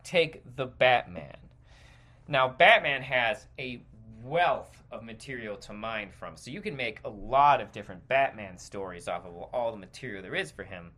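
A man's voice: mid-range voice, normal speed, sharp tone. A man hosting a podcast, giving rambling, emphatic, opinionated commentary in an off-the-cuff way. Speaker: podcast host. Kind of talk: opinionated stream-of-consciousness commentary.

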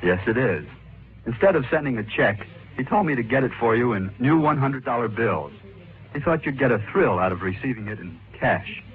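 Earnest young male messenger played by an actor, mid-pitched, courteous, vintage radio drama with faint noise. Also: lively intonation, moderate pace, lightly acted. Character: polite, earnest young man delivering money. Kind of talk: 1940s radio drama acting. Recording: scratchy, tinny old radio recording.

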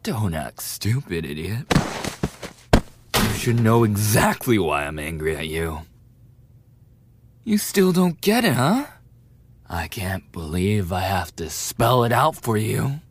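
A man's voice: fairly low pitch, sing-song, slow pace, pauses often, noisy background, full character voice. A man playing a cruel, mocking teenage delinquent bully in a dramatic roleplay audio, with a taunting, sneering, menacing delivery. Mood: menacing anger, then demanding, irritated.

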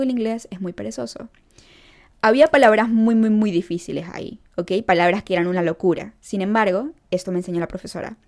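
A young woman from Venezuela with a Venezuelan accent, chatting animatedly to her viewers in a casual vlog. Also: mid-range voice. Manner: animated, talks straight to viewers, keeps asking "¿me entiendes?". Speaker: vlogger. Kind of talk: casual chat to camera.

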